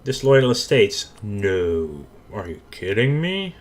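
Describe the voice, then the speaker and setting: A man with a Dutch accent, speaking in a somewhat low voice, commentates his own strategy game in a relaxed, unscripted way. He thinks aloud and restarts his sentences as he goes. Keyboard typing clicks away behind him. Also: takes it slowly. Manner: thinking aloud, self-correcting. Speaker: gaming channel host. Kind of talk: casual let's play commentary.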